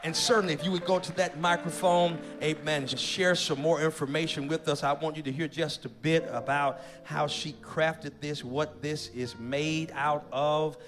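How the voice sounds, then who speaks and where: High-voiced American pastor rallying his congregation. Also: fairly even intonation, fairly bright tone, soft background music. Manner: exhortative, drawn-out emphatic delivery. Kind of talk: addressing a congregation from the stage. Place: large church sanctuary. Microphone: handheld or podium microphone.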